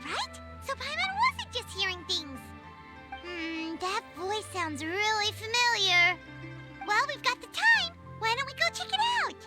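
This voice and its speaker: A woman voicing a small, excitable, childlike floating fairy girl in a video game, chirpy and curious. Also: very high voice, unhurried, faint music behind, heavily acted. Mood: cheerful, curious. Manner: chirpy, refers to self in third person. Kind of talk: video game character dialogue.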